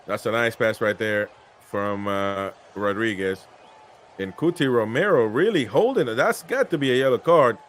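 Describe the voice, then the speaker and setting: A man's voice: mid-range voice, lively intonation, normal speed, a bit bright, slight background noise. A man from Peru hosting a casual live football stream, chatting about the match and reading viewer comments aloud.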